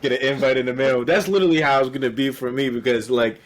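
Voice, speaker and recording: A young adult man hosting a podcast, his voice mid-pitched and ordinary for a man. He talks casually and playfully, bouncing through his points with jokey energy. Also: fast. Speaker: podcast host. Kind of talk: casual conversation.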